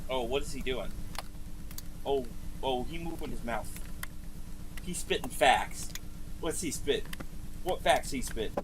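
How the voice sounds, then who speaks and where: A streamer giving casual live reaction commentary in an excitable, sweary, joking manner. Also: medium pitch, unhurried, long pauses, background noise.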